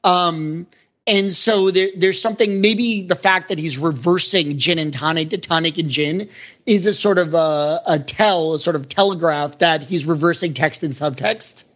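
A man's voice: fairly high pitch, moderate pace, fairly bright tone. A man speaking as a podcast panelist, giving conversational analysis in an earnest, explanatory manner. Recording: dull, lo-fi audio.

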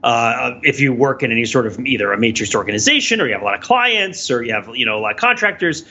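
A man's voice: medium pitch, animated, fast talker, pushed and bright, lo-fi sound, slight background noise. A man co-hosting a podcast in casual, analytical chat, thinking aloud in a discursive way. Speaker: podcast co-host.

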